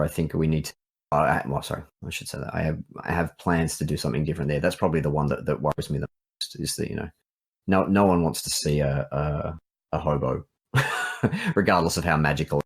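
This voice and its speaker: Aussie guy, super deep croaky husky voice, casual hesitant game dev chat. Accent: Australian. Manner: hesitant, thinking aloud. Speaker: visual novel writer and developer.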